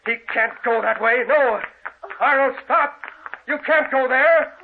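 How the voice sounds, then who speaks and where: A scheming villain of a brother in an old radio thriller. He speaks in a high voice with theatrical, commanding emphasis. The recording is thin and phone-like. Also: a little slow, creaky, a little hiss or hum, exaggerated voice. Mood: frantic. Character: scheming, commanding brother who turns gloating, mad murderer. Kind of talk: old-time radio horror acting.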